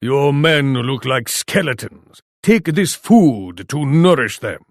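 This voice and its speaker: An actor playing a proud, imperious Japanese warlord in a video game, speaking formally. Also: male voice, medium pitch, expressive, slow pace, no room sound, clean studio sound. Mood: condescending. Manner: imperious, formal, speaks of himself in third person. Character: proud, haughty Japanese warlord commanding his armies. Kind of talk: video game character voice lines.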